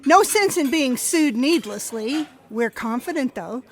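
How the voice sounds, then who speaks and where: A woman's voice: high voice, animated, unhurried. An actress playing an eager, self-assured woman newspaper reporter in a contemporary radio drama, with a breezy delivery. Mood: confident.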